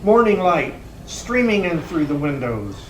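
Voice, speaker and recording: A man's voice: a little high, sing-song, measured pace. A man informally introducing and narrating a readers theater play. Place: room at a campus event. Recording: roomy, distant mic, clear background noise.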